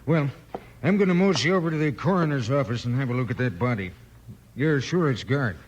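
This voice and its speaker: An actor playing a folksy small-town sheriff with an American Western accent in a 1950s radio western. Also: male voice, mid-range voice, expressive, a little fast, muffled recording, slight background noise, close to an everyday voice. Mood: pondering.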